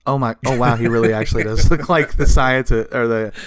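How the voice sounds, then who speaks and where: A man co-hosting a casual, comedic history podcast, telling stories from notes in an animated way with casual swearing. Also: medium pitch, a little fast, crowd noise. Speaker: podcast co-host.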